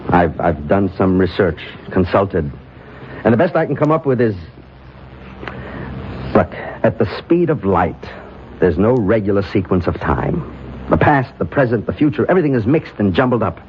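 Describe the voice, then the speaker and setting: An actor playing a firm, earnest doctor in an old radio drama, speaking with conviction. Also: male voice, on the low side, very animated, normal speed. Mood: earnest, intense. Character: earnest, firm doctor explaining an impossible theory. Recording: muffled, hissy old radio recording.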